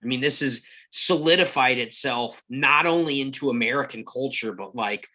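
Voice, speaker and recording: Male cast member, bright forward voice, chatty, rambling, video call. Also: medium pitch, normal speed. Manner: rambling, thinks aloud with many fillers. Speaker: table read cast member. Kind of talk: casual group discussion.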